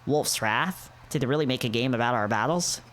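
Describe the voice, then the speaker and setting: A man's voice: medium pitch, brisk, fairly bright tone, slight background noise, close to an everyday voice. A man voicing an anthropomorphic wolf, a wry and grudging date in a visual novel. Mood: wry, bashful. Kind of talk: visual novel character read-aloud.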